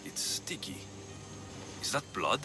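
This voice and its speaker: A man's voice: takes it slowly, music in the background, lightly acted. A man playing a wry, hard-bargaining mercenary in a video game, with a Greek accent and a dry, sardonic manner. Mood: curious, wry. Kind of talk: video game cutscene acting.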